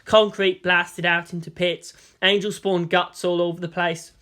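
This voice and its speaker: A narrator giving an expressive reading of fan fiction, like an audiobook. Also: mid-range voice, a little slow.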